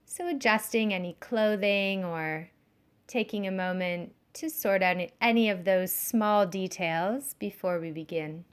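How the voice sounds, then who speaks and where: Woman, yoga nidra instructor, very unhurried pace, soothing, measured delivery, mid-range pitch. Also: clear, resonant tone. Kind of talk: guided meditation instruction.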